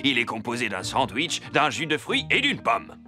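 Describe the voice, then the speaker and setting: A man's voice: mid-range voice, huge pitch swings, moderate pace, over music. An actor voicing a cheerful, food-loving male lion who works as a zookeeper, talking in a hearty, exclaiming way in a French cartoon dub.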